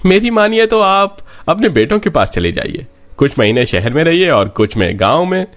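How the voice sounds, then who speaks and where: A man's voice: on the high side, big pitch swings, moderate pace, fairly bright tone, pitched above the performer's own voice. A man voicing a kindly family doctor in a cartoon story, reassuring and full of advice. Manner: reassuring, advising. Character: kindly advising male village family doctor.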